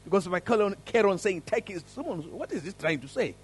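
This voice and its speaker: Husky, lively man preaching a sermon. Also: high voice, quick pace. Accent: African. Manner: earnest, lively storytelling with rising emphasis. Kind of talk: sermon with prayer and story. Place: church service.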